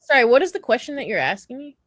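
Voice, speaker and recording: A woman's voice: sits high, sing-song, fast, a bit bright, a little hiss or hum. A woman in a casual podcast discussion, speaking in a hesitant, questioning way. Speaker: podcast panellist.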